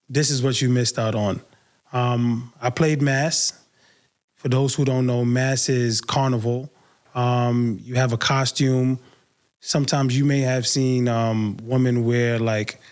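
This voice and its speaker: A man with a New York accent talks solo as a podcast host. His pitch is average and his pace is notably slow. The delivery is casual and unfiltered, full of everyday slang. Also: a little mellow. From Brooklyn, New York, United States.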